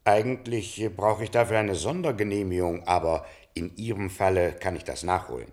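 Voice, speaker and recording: A man's voice: sits low, moderate pace, a little mellow, lightly acted. An actor playing a jovial, obliging male dealer in a radio drama, chatty and deferential in manner. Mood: amused, obliging.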